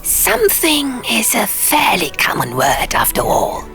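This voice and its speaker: A woman's voice: on the high side, wildly swooping pitch, a little slow, fairly bright tone, faint music behind, pitched above the performer's own voice, exaggerated voice. A woman voicing a male bat-like stone gargoyle in an audiobook, musing and contrary, speaking in ornate rhetorical questions. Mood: pondering, dry. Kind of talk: audiobook character voice.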